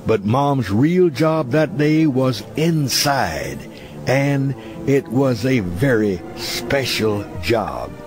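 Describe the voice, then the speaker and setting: A man's voice: medium pitch, a little mellow. A man reading a scripted memoir as a narrator, in a nostalgic, reflective storytelling manner. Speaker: audiobook narrator. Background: background music.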